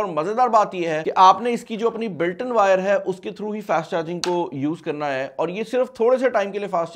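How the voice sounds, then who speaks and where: A man in his twenties with a Pakistani accent, hosting a casual product review and mixing in English words. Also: sits high. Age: 20s. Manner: mixes English words and phrases into speech. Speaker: tech review host. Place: small studio at a desk, indoors.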